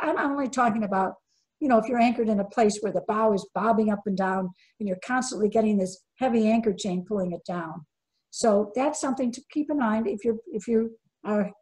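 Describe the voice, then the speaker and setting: A woman's voice: medium pitch, darkish tone, rough recording. An older woman presenting a sailing webinar over a video call, emphatic and quizzing her audience, often repeating key words for stress.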